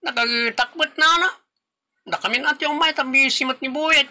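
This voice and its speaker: A man voicing an eager handyman on the phone in an Ilocano comedy audio drama, with a hurried manner and an Ilocano accent. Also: very high-pitched, bright, forceful tone, pitched above the performer's own voice, heavily acted.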